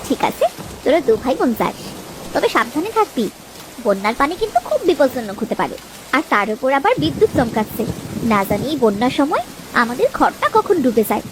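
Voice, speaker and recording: A woman's voice: high-pitched, very animated, a little fast. A woman playing a worried village wife and mother in a cartoon, fretful but caring. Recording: noisy track with ambience behind.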